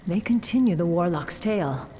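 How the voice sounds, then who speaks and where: A woman's voice: on the low side, expressive, normal speed, noisy background, close to an everyday voice. An actress playing a resolute female night elf warden in a video game, speaking in a grave, formal manner. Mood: grave, watchful. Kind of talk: dramatic video game voice acting.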